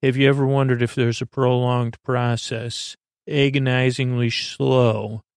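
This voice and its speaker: A man voicing an imaginary friend, a gruff, folksy, dismissive rock-tumbling salesman in an improvised bedtime podcast. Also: medium pitch, level tone, unhurried, dry recording, clean studio sound, natural voice. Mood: earnest pitch. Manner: folksy, dismissive, salesman-like, calls listener kid.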